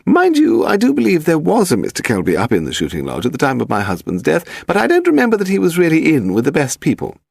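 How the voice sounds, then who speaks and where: A man's voice: fairly high pitch, huge pitch swings, fast talker, creaky, few pauses, no echo, clean studio sound, pitched above the performer's own voice, full character voice. A man voicing a haughty, pedantic English aristocrat, a frail lady in her eighties, in an upper-class accent for an audiobook story. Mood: indignant, rambling. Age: 80s. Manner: pedantic, grand, derisive, demanding. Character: imperious frail aristocratic old lady of eighty-five, malicious.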